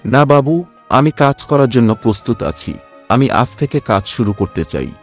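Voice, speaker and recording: A man's voice: mid-range voice, lively intonation, fairly quick, soft background music, lightly acted. A man playing a poor, worried day labourer in a cartoon story, speaking in a humble, plaintive way. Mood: pleading.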